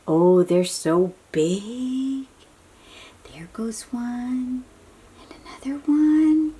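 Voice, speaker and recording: A woman reading a picture book aloud to young children in an animated, breathy, questioning way, drawing out her words. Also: a little high. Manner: animated, drawn-out, questioning delivery aimed at little children. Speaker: children's read-aloud host.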